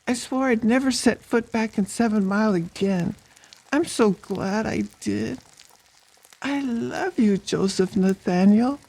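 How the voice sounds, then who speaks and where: A woman's voice: on the high side, measured pace, warm tone. A woman playing a tender, insecure woman devoted to her lover, speaking earnestly in an audiobook drama.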